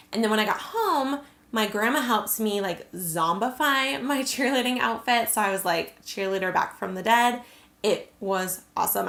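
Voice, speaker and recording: A woman's voice: mid-range voice, vocal fry, a bit bright, reverberant. A young adult American woman vlogger chatting casually to camera, answering questions with lots of "like" and "um". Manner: chatty, many "like" and "um" fillers.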